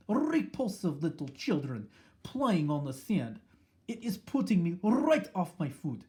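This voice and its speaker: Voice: mid-range voice, mellow, pitched above the performer's own voice. A reader voicing a furious, tyrannical witch leader who screams and rants at her followers in an audiobook. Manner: shrieking, ranting, drawn-out words. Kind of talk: audiobook reading.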